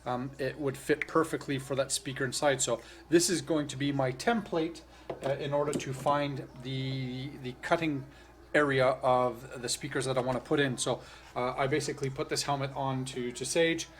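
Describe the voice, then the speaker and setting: A man's voice: mid-range voice, steady tone, moderate pace. A man hosting a casual how-to tutorial, explaining step by step in a loose, hesitant way and often correcting himself. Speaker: host of a tutorial channel. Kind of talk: casual hands-on tutorial narration.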